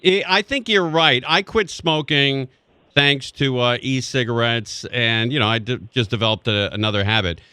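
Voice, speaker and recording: A man in his forties hosting a radio talk show, jokey and animated as he chats with a guest. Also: medium pitch, normal speed, clean tone, no room sound. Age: 40s. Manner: jokey, animated, laughs along. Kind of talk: casual radio chat. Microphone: studio microphone.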